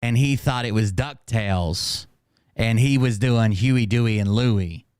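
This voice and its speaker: A man's voice: mid-range voice, measured pace, a little mellow, smooth, dry recording. Nasal man teasing dryly. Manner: dry, teasing. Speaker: livestream host. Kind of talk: casual reaction commentary.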